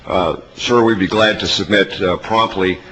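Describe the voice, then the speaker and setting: A man with a fairly low, bright and forceful voice delivers formal testimony. He speaks in a measured, deliberate way, as an industry representative would. The hall rings with long reverb and there is faint noise behind him. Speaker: advertising industry representative testifying at a legislative hearing. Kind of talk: formal prepared testimony and answers. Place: large hearing room.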